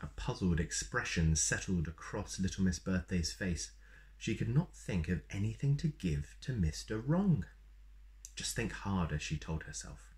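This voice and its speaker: A man in his thirties reading a children's story aloud in an expressive, playful way. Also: sits low, moderate pace. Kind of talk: animated read-aloud with asides to young listeners. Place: small plain room indoors.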